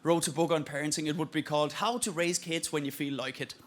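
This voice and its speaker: A Danish man whose English has an Irish-sounding accent, doing a stand-up comedy set on a theatre stage with self-mocking, deadpan storytelling. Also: fairly high pitch, fast talker, very noisy. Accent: Danish speaker with an Irish-sounding English accent. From Denmark. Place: theatre stage with live audience.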